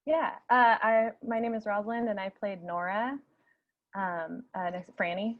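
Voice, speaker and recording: A woman's voice: medium pitch, fairly even intonation, a little slow, narrow, tinny audio. A woman actor chatting casually in a group discussion, emphatic and repeating phrases as she thinks aloud. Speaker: actor in a radio play, panel guest.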